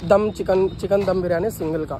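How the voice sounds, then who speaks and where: A young man chats loosely to his viewers and sounds fairly high for a man. He talks into a phone held at arm's length outdoors at night, and loud street and traffic noise sits behind him. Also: fairly even intonation, normal speed. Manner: chatty, loose, talks to viewers while walking. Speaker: food vlogger. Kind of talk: casual vlog walkthrough. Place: outdoor restaurant area at night. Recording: noisy outdoor phone recording.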